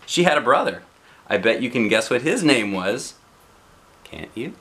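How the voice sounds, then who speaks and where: A man reading a picture book aloud as a dad would, playful and expressive, with casual asides to a child. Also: mid-range voice, normal speed.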